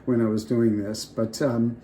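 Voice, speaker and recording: An older man gives advice straight to his viewers, talking off the top of his head. His voice is mellow and relaxed, a little off from a lingering cold, and he circles back and restarts his sentences often. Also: medium pitch, a little slow. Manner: rambling, restarts often, off the cuff. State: getting over a cold. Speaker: vlogger. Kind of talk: casual advice talk to camera.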